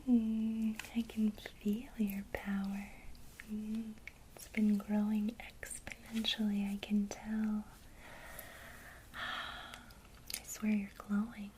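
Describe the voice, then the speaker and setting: A woman whispers intimately in an ASMR lesson, guiding the listener through a visualization. Her delivery is slow and unhurried, with a mellow, dark softness to the whisper. A faint hiss sits under the voice. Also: mid-range voice, completely flat delivery, low-quality recording. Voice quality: soft unvoiced whisper. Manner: slow, soothing, intimate, addresses the listener directly. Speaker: ASMR host teaching witchcraft.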